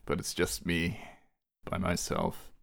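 A man's voice: low-pitched, big pitch swings, slow, gravelly, fairly bright tone. A man in his forties co-hosting a casual gaming podcast, bantering with self-mocking humor. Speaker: podcast co-host. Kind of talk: casual chat.

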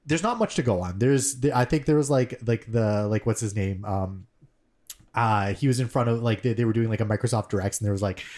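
Male podcast co-host, slightly low voice, loose and digressive, heavy on "like" and "um". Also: darkish tone. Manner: rambling, filler-heavy explanations. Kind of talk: casual chat.

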